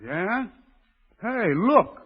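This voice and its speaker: An actor playing a streetwise American gang boss with a soft spot, talking insistent slang in old-time radio drama style. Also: male voice, high voice, big pitch swings, drawn-out words, husky, heavily acted. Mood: cagey, persuasive. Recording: muffled vintage radio broadcast.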